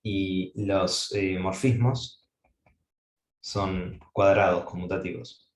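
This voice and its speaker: A man gives a university-style lecture, working through ideas aloud and pausing on fillers as he goes. His voice sits a little low. The recording carries a noticeable room echo. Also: steady tone. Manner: thinking aloud, frequent "eh" fillers and restarts. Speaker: mathematics lecturer. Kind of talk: academic lecture at a whiteboard.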